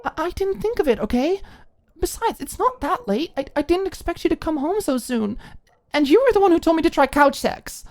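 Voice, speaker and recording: A shy young woman in an audio romance, acted by a female narrator. Her voice is pitched high and trips over itself, embarrassed and pleading, as she tries to explain herself. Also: big pitch swings, a little fast, a little mellow. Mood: flustered, defensive. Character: flustered, shy, apologetic young woman caught kissing.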